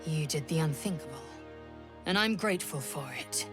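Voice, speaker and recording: A woman's voice: low-pitched, expressive, moderate pace, music in the background. A woman voicing a proud, fierce young alien warrior in a video game, with a clipped, imperious manner. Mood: tender pride. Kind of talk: dramatic video game acting.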